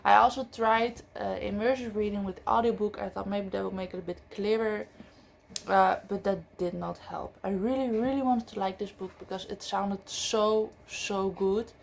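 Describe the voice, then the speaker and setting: A woman chatting about books in English, which is not her first language, often pausing with fillers and correcting herself mid-sentence. Her voice has a light gravelly fry at mid pitch, and a faint hiss sits under the recording. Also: fairly even intonation. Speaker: book vlogger. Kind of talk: casual book review chat.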